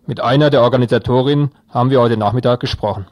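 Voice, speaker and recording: A man's voice: medium pitch, quick pace, darkish tone, no room sound. A man presenting radio news, reading a scripted introduction to a report.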